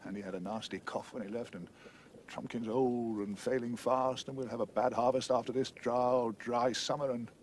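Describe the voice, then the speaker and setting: A man's voice: mid-range voice, fairly even intonation, moderate pace, a little hiss or hum, lightly acted. A man playing a gloomy, pessimistic marsh creature in an audio drama, speaking lugubriously as if expecting the worst. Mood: dolefully pessimistic. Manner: lugubrious, always predicting the worst.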